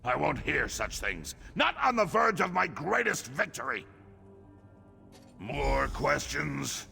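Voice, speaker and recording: Forceful, proud orc king in a hall. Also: medium pitch, gravelly, full character voice. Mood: menacing restraint. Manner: grandiose, declamatory, indignant when challenged. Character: proud orc king, imperious and quick to anger. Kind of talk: fantasy video game voice acting. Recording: long hall reverb, faint music behind.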